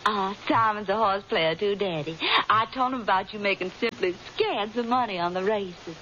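A woman's voice: medium pitch, normal speed, sharp tone, close to an everyday voice. Woman acting a coy Kentucky Southern belle. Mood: daughterly. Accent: Kentucky Southern American. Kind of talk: old-time radio drama acting. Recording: dull, hissy old radio recording.

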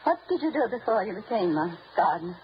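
A woman's voice: medium pitch, sing-song, fast talker, very noisy, close to an everyday voice. A woman playing a frail, nervous old woman in a wheelchair in an old-time radio drama, stammering and pleading. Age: older. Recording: dull lo-fi radio recording.

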